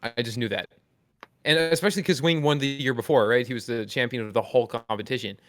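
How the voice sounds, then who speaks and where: Podcast co-host, young man, average pitch, casual slangy talker, recorded very dry. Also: quick pace, no room sound. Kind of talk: casual chat.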